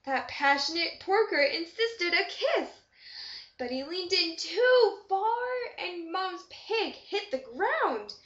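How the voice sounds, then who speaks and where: A woman's voice: very high voice, expressive, slow pace, fairly bright tone. A woman reading a rhyming children's story aloud with expression. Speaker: storybook reader. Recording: dull echoey amateur room recording.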